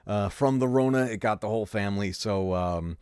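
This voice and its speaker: A man's voice: fairly low pitch, fairly quick, a little mellow, clear, resonant tone, no echo, studio quality. A male gaming streamer chatting casually to his viewers, full of ums and uhs, with a sore throat and a fading voice. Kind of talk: casual live-stream chat.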